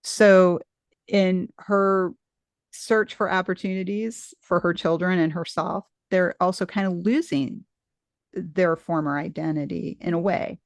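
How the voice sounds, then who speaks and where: A woman's voice: fairly low pitch, steady tone, a little slow, dry recording. A middle-aged woman hosting an interview, talking in a thoughtful, rambling way with frequent restarts. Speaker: podcast host interviewing a guest. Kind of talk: casual conversational interview.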